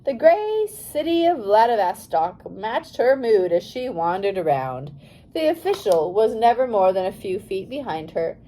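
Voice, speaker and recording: A woman narrating a biography for young readers as an audiobook, in a careful, expressive storytelling manner. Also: mid-range voice, measured pace, rough recording.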